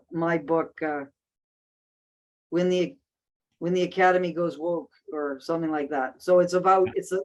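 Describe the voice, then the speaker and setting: A woman's voice: fairly low pitch, a little mellow. A woman hosting a casual discussion, speaking thoughtfully with frequent restarts and fillers. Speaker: host.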